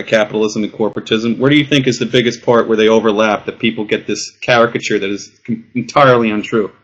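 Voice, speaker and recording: A man leads a casual panel talk, winding through long questions with plenty of filler and the odd jab at a guest. His voice sits at a middle pitch. The recording is dull and lo-fi. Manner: teasing, wordy questions with filler. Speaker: podcast host. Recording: dull lo-fi sound.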